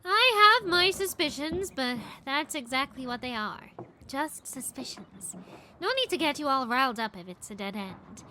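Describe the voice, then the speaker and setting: Give the part to a haughty, teasing little girl scientist who is really an old woman. The voice is high and bright, with very lively swings. It is an audio drama with faint noise behind it. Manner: teasing, haughty, quick to boast. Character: impish elderly scientist woman in a young girl's body. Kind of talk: fan audio drama acting.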